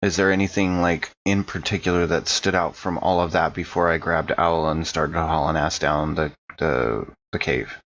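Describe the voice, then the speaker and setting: Low-voiced man playing a tabletop game. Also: steady tone, normal speed, few pauses, a bit bright, highs cut off, slight background noise. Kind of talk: casual game-table chat.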